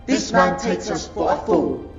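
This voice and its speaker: A haughty reptilian alien noble, performed by a man in a sci-fi audio drama. His voice is gravelly and animated, full of formal, pompous scorn. It sounds dull and echoey, buried under very loud music. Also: sits high, measured pace, exaggerated voice. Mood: scornful, outraged. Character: haughty, contemptuous reptilian Silurian male in royal court.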